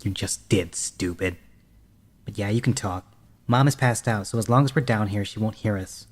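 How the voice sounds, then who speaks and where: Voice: mid-range voice, moderate pace, close to an everyday voice. A performer playing a bossy, know-it-all boy, the older brother in an audio drama. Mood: snide. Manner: snide, bossy. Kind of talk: audio horror-comedy drama.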